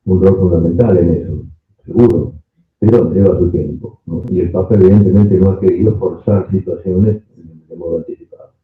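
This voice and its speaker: A man's voice: low voice, steady tone, normal speed. A man giving an analytical answer as a panelist. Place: echoey indoor room at panel event. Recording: thin phone-like sound, echoey room.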